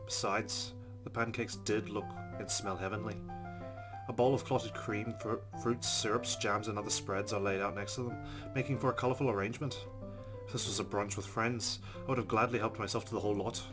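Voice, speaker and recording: A man's voice: fairly low pitch, steady tone, brisk. A man playing a visual novel on video, reading its narration aloud and adding wry, chatty asides. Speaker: let's play host. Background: game music.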